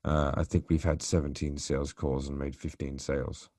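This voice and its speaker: Really deep voiced guy on a sales call, asking probing questions, casual coaching chat. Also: little pitch movement, moderate pace, a little mellow, clean studio sound. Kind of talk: consultative sales call conversation. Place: recorded call, roomy sound. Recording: roomy call recording.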